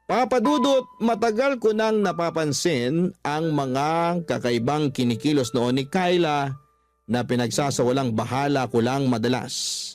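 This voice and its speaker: An actor playing a letter sender who narrates his suspicions in a Filipino radio drama, in measured storytelling. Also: a little high, close to an everyday voice. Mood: reflective. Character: letter sender recounting suspicions about household girlfriend.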